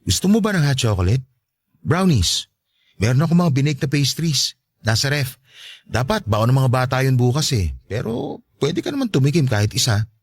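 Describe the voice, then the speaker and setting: Meek, very animated stepfather, acted by a man. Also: mid-range voice, normal speed, slightly rough, no echo, studio quality. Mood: polite, hospitable. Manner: polite, placating, defensive. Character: meek, accommodating, homely stepfather. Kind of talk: Tagalog radio-style love story drama.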